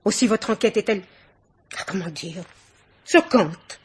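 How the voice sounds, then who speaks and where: An actress playing an imperious, chattering middle-aged society hostess in a theatrical, exclamatory French radio mystery play. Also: female voice, mid-range voice, animated, a little slow, a little hiss or hum, natural voice. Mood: affronted.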